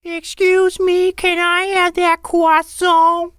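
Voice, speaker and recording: A very high, nasal cartoon boy, nerdy and awkward. He talks slowly and earnestly, in a pinched voice. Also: monotone, bright, forceful tone, dry recording, clean studio sound, heavily acted. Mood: polite.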